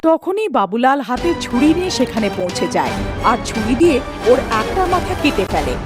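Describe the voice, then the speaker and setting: Female narrator, scripted cartoon storytelling, fairly high pitch, lively intonation, music underneath. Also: fairly quick.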